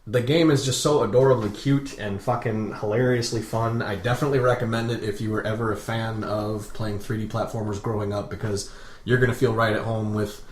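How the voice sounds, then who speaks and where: A man co-hosting a podcast, chatting casually and haltingly about video games, with some swearing. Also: on the low side, steady tone. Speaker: podcast co-host. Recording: roomy echo, faint background noise.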